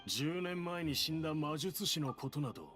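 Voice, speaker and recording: Scornful, highly animated anime game villain. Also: male voice, fast, faint music behind. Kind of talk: Japanese game voice acting.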